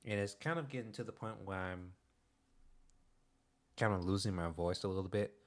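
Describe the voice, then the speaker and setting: A young man streaming, chatting casually in a soft-spoken, hesitant way with many pauses and restarts. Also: deep voice. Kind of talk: casual livestream chat.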